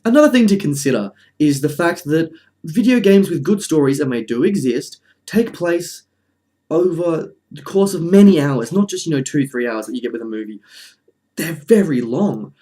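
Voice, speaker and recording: A man's voice: fairly high pitch, expressive, moderate pace, mellow. A man presenting casual film commentary in an informal, chatty, slightly wry way. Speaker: presenter of a film review video essay.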